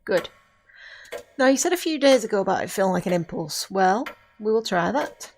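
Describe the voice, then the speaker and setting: A woman's voice: medium pitch, animated, a little mellow, a little hiss or hum, natural voice. A woman playing an earnest, grieving but insistent witch who mentors a novice in an audio drama, speaking formally and instructively. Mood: firm, encouraging. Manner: measured, instructive, formal phrasing. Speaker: voice actor in an amateur audio drama.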